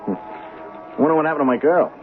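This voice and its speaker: An actor playing a shifty small-time hustler in a radio drama, talking slangy, hesitant and streetwise. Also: male voice, fairly high pitch, moderate pace, soft background music, natural voice. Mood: flustered. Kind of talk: radio drama acting with first-person narration. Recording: tinny 1970s radio broadcast, crowd behind.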